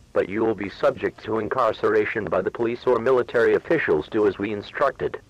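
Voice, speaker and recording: A man playing a grave, authoritative emergency alert announcer, reading formal scripted instructions in a husky voice over dull broadcast audio. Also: medium pitch, close to an everyday voice.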